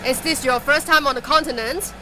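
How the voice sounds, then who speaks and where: A woman's voice: high voice, fairly quick, sharp tone, a little hiss or hum. A woman playing a seasoned, superstitious transport driver in an audio drama, matter-of-fact and assured. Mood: wry, assured. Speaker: voice actor in a fiction podcast.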